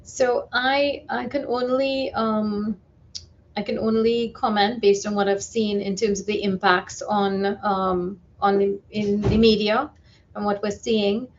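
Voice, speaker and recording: A woman speaking as an expert guest in a live news interview, explaining carefully and with measured hesitation. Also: medium pitch, level tone, lo-fi sound, slight background noise.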